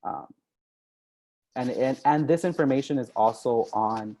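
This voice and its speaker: A man's voice: medium pitch, a little slow, dark tone, rough recording. A young man speaking as a diplomat at an online info session, talking conversationally with many ums and "right?" tags. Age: young adult.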